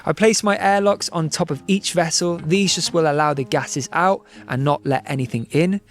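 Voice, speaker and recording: A man giving calm, scripted voice-over narration, explaining each step clearly. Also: fairly high pitch, normal speed. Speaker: vlogger narrating.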